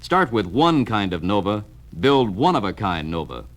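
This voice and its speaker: A man's voice: on the low side, big pitch swings, moderate pace. An American man reads scripted promotional film narration in a punchy, emphatic salesman's delivery, from a vintage 1970 record. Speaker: narrator of a car dealer training film. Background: music.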